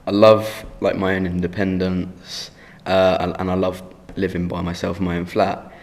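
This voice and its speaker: Brief: 19-year-old man, very deep voice with gravel, flat and halting. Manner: halting, with fillers and restarts. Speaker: care leaver. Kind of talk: personal testimony for a short film.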